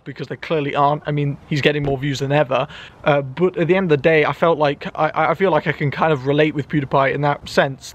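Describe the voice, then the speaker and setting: A young man with a fairly high voice talks loudly and chattily to camera, poking fun at himself as he goes. He is recording outdoors in an enclosed garden at night. His voice carries a slight echo off the surrounding trees. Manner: loud and chatty, self-mocking. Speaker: YouTuber. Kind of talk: casual vlog monologue.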